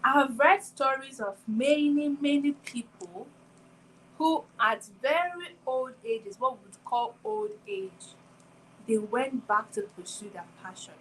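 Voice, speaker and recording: A young woman in her twenties with a slightly high voice talks through a reflective motivational monologue, circling back to the same questions again and again. A faint hum of room noise sits underneath her. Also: expressive, a little slow, low-quality recording. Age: 20s. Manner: reflective, poses repeated rhetorical questions. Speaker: podcast host. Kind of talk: solo motivational talk.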